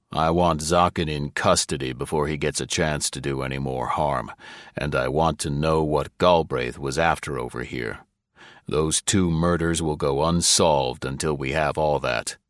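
A man's voice: very deep voice, fairly bright tone, dry recording, clean studio sound. A man voicing a blunt, impatient government chief who growls his orders, in a story reading. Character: gruff blustering male government chief.

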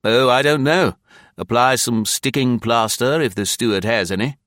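A man's voice: mid-range voice, lively intonation, normal speed, a bit bright, no room sound, clean studio sound, voice pushed up, natural voice. A man voicing a cool, wry British colonial commissioner in an audiobook. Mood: feigned innocence.

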